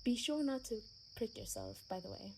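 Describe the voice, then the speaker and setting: A woman acting a gentle, sheltered young vampire daughter in an ASMR roleplay, speaking in a soft, earnest, hesitant way. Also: fairly high pitch, wildly swooping pitch, normal speed, vocal fry, full character voice. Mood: soft, friendly. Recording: faint background noise with chirping crickets.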